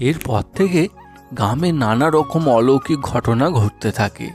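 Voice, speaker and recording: A man's voice: medium pitch, lively intonation, unhurried, darkish tone, faint music behind. A man narrating a cartoon folk tale as a scripted storyteller.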